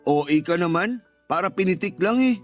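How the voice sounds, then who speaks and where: Man acting a high-voiced, callous, irritable husband. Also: expressive, a little fast, a bit bright, soft background music. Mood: defensive, irritated. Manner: dismissive, curt, defensive. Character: callous, irritable husband who resents his stepchild. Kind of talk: Tagalog radio drama acting.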